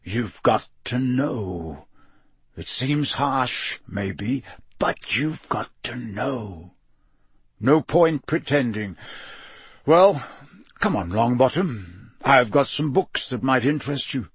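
A man voicing a fierce, gruff old male wizard teacher who barks warnings and drills his class. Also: mid-range voice, lively intonation, takes it slowly, dry recording, heavily acted. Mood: low gruff. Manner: barking, growling, drilling warnings.

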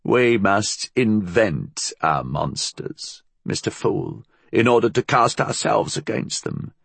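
A man voicing a frail, vicious old blind woman in a story, hissing and husky, with a cunning, formal way of speaking. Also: lowish voice, animated, a bit bright, dry recording, voice pushed up, heavily acted. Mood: bitter, scornful. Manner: slow, cunning, menacing, formal.

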